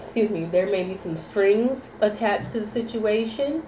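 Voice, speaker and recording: A woman's voice: medium pitch, moderate pace, dark tone. A woman with a cold giving a tarot reading to viewers, sounding nasal and rambling through repeated phrases and fillers. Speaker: tarot reader. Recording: echoey room, faint background noise.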